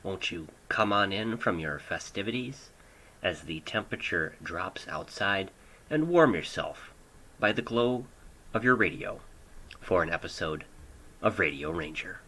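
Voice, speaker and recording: An American man hosting an amateur radio show, narrating in deliberate, drawn-out phrases with pauses, recorded at home on a hobbyist microphone. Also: low voice, expressive, bright, forceful tone, a little hiss or hum, rough recording. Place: home studio. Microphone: older hobbyist microphone.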